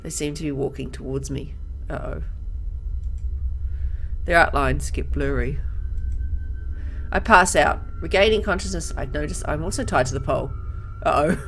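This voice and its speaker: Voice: mid-range voice, moderate pace, vocal fry, pauses often, fairly bright tone, soft background music. A game player reading a visual novel aloud and adding informal commentary and reactions. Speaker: gamer, let's player.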